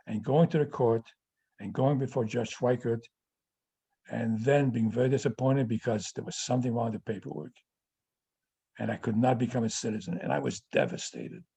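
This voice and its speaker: Elderly man in his eighties, native French speaker, warm mellow voice, husky, halting with many restarts. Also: medium pitch. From France. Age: 80s. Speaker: guest speaker answering audience questions. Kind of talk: recounting personal memories.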